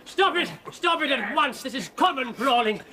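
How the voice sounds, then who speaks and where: A man's voice: very high-pitched, expressive, normal speed. An actor playing an indignant, genteel old clergyman, prim and imperious, in a radio drama.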